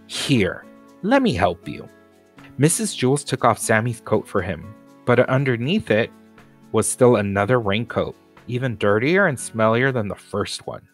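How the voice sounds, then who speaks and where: A man's voice: mid-range voice, expressive, moderate pace, faint music behind. A man narrating a children's storybook aloud as a scripted reading. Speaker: storybook reader.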